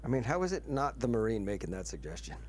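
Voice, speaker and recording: Wry man bantering, mid-pitched. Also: sing-song, quick pace, darkish tone, faint music behind. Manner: wry, joking. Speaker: tabletop roleplaying player. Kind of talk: casual banter.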